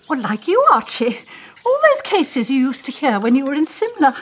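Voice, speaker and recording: High-voiced coaxing English wife. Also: female voice, sing-song, normal speed, a little hiss or hum. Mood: curious, flattering. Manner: gushing, persuasive. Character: coaxing, admiring English middle-class wife. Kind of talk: radio drama acting.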